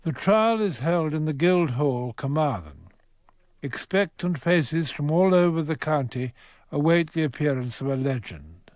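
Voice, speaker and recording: Male narrator, observant, measured, literary, slightly high pitch, dry close sound, radio drama. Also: lively intonation, a little mellow, no echo. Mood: poised, observant. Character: dry, observant narrator setting courtroom scenes.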